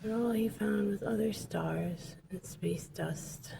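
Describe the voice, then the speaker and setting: A woman speaking in a hushed, often near-whispered voice, casually commenting and telling stories on a noisy handheld vlog recording. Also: medium pitch, slow, vocal fry. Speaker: vlogger filming and telling a story.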